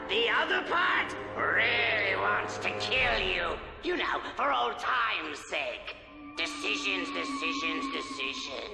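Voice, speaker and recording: A man's voice: high-pitched, very animated, gravelly, reverberant, in a large hall, full character voice. A man voicing a gloating, theatrical robot supervillain in a video game cutscene. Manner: mocking, drawn-out theatrical gloating. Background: game score music.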